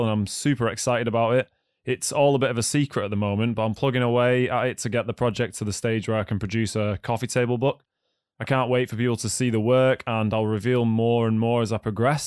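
A man hosting a podcast with a slightly croaky voice, talking in a friendly, enthusiastic way. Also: medium pitch, a little mellow, no echo, clean studio sound. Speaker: podcast host. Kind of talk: podcast intro and casual chat.

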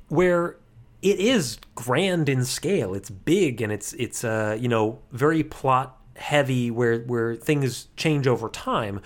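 A man co-hosting a podcast, explaining things casually with a wry, playful manner. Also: mid-range voice. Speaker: podcast co-host.

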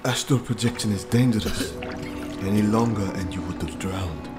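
A man performs as an attendant to a dark sorcerer, urgent and a little haughty, with a fairly low voice. It is fantasy audio play acting, with loud music and water sounds behind. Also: moderate pace, close to an everyday voice. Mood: anxious, deferential. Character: anxious, haughty male servant of a dark sorcerer.

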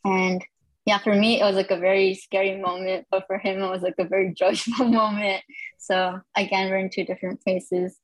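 A woman's voice: mid-range voice, little pitch movement, normal speed, no room sound, poor audio. A woman sharing her own experience as a guest in a casual, reflective way. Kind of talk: casual personal storytelling.

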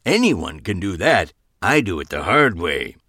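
A man voicing an older male magician who is gravelly, boastful and complaining. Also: deep voice, big pitch swings, a little slow, pushed and bright, no echo, clean studio sound, heavily acted.

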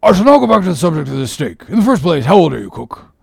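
A man voicing a bossy, mocking sailor officer in an audiobook, animated and needling, with a gravelly rasp. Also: on the high side, fast, no echo, clean studio sound, voice pushed up, exaggerated voice. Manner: animated, needling, mock-solemn interrogation. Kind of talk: audiobook character reading.

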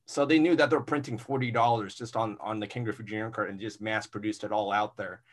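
A man in his forties, a stand-up comedian, telling stories in a relaxed, rambling way over a video call from a small closet room. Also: fairly low pitch, fast. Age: 40s. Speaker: stand-up comedian, podcast guest. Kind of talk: casual interview chat. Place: small converted walk-in closet.

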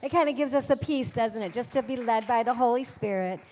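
A woman's voice: fairly high pitch, brisk, darkish tone, a little hiss or hum. A woman hosting a church women's panel, upbeat and calling out amens to the audience in a church hall. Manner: upbeat, calls out amens to the room. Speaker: women's event host.

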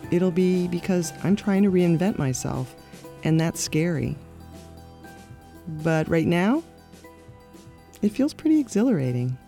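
A woman's voice: sits low, mellow. Reflective middle-aged woman narrating. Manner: reflective, measured and candid. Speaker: podcast host. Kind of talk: scripted personal narration.

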